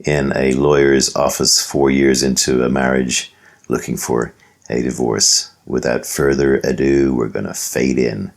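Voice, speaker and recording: A man's voice: rumbling low, flat delivery, unhurried, gravelly. A man with an Irish accent hosting a podcast, talking casually to listeners and guests. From Dublin, Ireland. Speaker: podcast host. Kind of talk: casual podcast introduction and interviewing.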